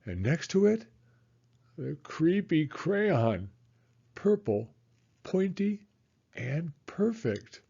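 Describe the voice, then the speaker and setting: A man reading a children's story aloud in a theatrical, suspenseful way with drawn-out emphasis. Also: fairly high pitch, very animated, gravelly, mellow, husky, muffled recording.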